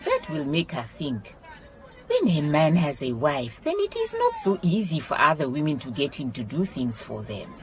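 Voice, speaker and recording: An actress playing a wise Botswanan detective with a Southern African accent, formal, deliberate and affectionately firm, in a radio drama. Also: female voice, deep voice, huge pitch swings, soft background music. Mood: firm, knowing. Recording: clear outdoor vehicle noise.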